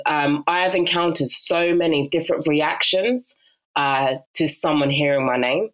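A young adult woman from Ghana, a guest on a podcast, talking in a casual, animated way with frequent laughs and fillers. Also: low-pitched, rough recording. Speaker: podcast guest, actress and narrator. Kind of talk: casual interview chat.

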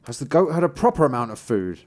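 A man voicing an old tailor from a fairy tale, a hot-tempered, gullible father who exclaims loudly and theatrically. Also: medium pitch, very animated, fast talker, natural voice. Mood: inquiring.

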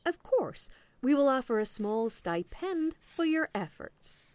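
High-pitched, polished, officious female agent. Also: sing-song, a little slow, darkish tone, dry recording. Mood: briskly officious. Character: smooth, officious woman agent, politely relentless with veiled sarcasm. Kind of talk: audio play acting.

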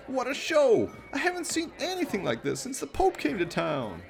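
A man voicing a gushing, excitable male television news reporter in a radio play, full of exclaiming showmanship. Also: very high voice, normal speed, gravelly, faint music behind, pitched above the performer's own voice, exaggerated voice. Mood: gushing excitement.

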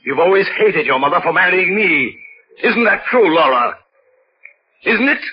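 An actor playing a domineering, rattled, menacing husband who interrogates insistently, in 1940s radio mystery style on an old recording. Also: male voice, high voice, lively intonation, normal speed, lo-fi sound, background noise. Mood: agitated, accusing.

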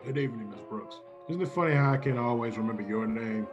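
A man's voice: mid-range voice, fast talker, over music, lightly acted. Wry older suitor, acted by a man, dull recording, understated, tired, old-time radio romance. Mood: dry, gloomy. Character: weary, wry older bachelor suitor with dog. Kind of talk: classic radio play acting.

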